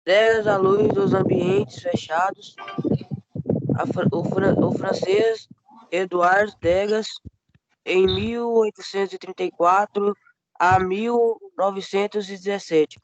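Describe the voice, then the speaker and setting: A hoarse male student with a cold, and a fairly high voice, reads a textbook passage aloud very slowly, stumbling and restarting words. The sound is dull and lo-fi, with clear noise in the background. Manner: halting reading, stumbles over words. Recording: noisy lo-fi call audio.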